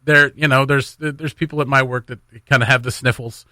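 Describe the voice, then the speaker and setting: A man's voice: mid-range voice, fairly even intonation, brisk, bright, forceful tone, dry recording, studio quality. A Canadian man hosting a casual morning radio chat in a jokey, conversational way, often repeating phrases for emphasis. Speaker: radio host. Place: radio studio. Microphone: close studio microphone.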